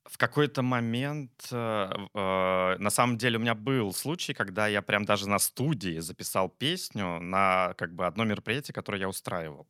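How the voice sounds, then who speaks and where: A man's voice: fairly low pitch, moderate pace, few pauses, pushed and bright, clean tone, no room sound, studio quality. A man co-hosting a talk as a psychologist, chatting casually and reflectively, often searching for words. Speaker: psychologist and talk show co-host.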